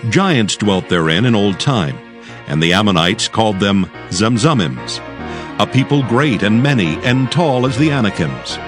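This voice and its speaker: Lowish animated male scripture narrator over music. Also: unhurried.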